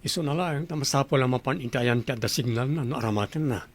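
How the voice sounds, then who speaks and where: A father and boss in a radio drama, played by a man. He has a husky, mid-pitched voice and asks questions plainly. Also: fast, close to an everyday voice. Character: concerned father, a boss at a clinic.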